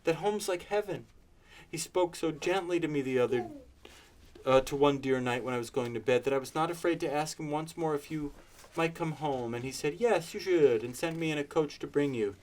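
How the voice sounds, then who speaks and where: A man's voice: on the high side, moderate pace, pitched above the performer's own voice, natural voice. A man doing a gleeful little girl. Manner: bright and gushing.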